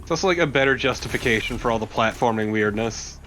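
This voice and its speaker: A man's voice: medium pitch, fast talker, bright, forceful tone, a little hiss or hum. A man, a young adult, chatting casually as co-commentator over gameplay, conversational and swearing freely. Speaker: co-commentator on a let's play.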